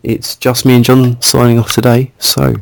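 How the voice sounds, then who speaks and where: A man's voice: mid-range voice, completely flat delivery, moderate pace, creaky. A man co-hosting a podcast in casual chat, agreeable and laid-back in the way he talks. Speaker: podcast co-host.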